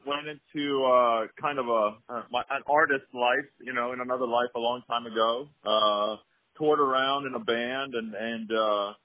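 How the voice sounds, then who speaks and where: A man from Sweden, telling his story in a relaxed, easygoing way as an interview guest. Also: medium pitch, normal speed, no room sound. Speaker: guest, former music manager. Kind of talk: casual interview over phone. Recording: husky voice on a tinny, dry phone line.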